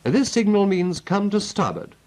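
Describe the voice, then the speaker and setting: A man's voice: high voice, big pitch swings, moderate pace, a little mellow. A British man narrating a 1940s military training film as an instructor, in a clipped, methodical and instructive manner.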